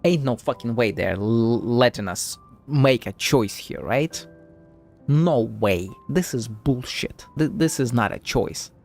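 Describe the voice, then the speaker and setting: A man's voice: medium pitch, expressive, slow pace, a little mellow. A man giving casual live gameplay commentary, sceptical and profane as he thinks aloud. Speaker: game streamer. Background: game music.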